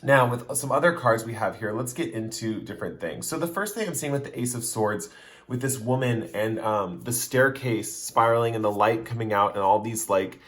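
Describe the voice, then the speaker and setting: A man's voice: medium pitch, animated, moderate pace, a bit bright. A man giving a casual pick-a-card tarot reading, talking straight to the viewer in a loose, rambling way that restates its points. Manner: chatty, speaks straight to the viewer. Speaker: tarot reader.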